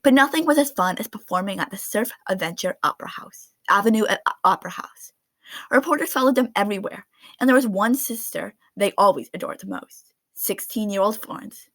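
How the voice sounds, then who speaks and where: A woman reading a children's picture book aloud in an expressive storytelling style. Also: mid-range voice, brisk, dry recording. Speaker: read-aloud narrator of children's books.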